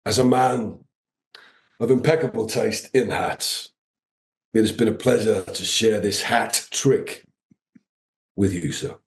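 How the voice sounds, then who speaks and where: Slow, mock-formal man. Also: on the low side, a little hiss or hum. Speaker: guest video game actor.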